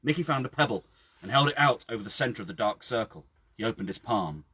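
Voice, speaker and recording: A man with a husky voice reading his own story aloud outdoors, in a dramatic storytelling style. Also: on the low side, expressive, fast talker, sharp tone, no echo. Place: outdoors by a well.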